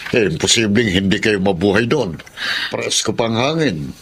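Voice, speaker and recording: A man's voice: on the low side, expressive, normal speed, a bit bright, slight background noise. A man playing a caring, frank older farmer uncle in a Filipino drama, concerned and probing.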